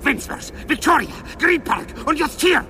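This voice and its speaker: An actor playing an aggressive, foul-mouthed thug in a radio play, hectoring and menacing with a gravelly edge. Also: male voice, very high-pitched, animated, normal speed, sharp tone, slight background noise, exaggerated voice. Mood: menacing, furious. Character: aggressive, foul-mouthed male thug confronting his follower.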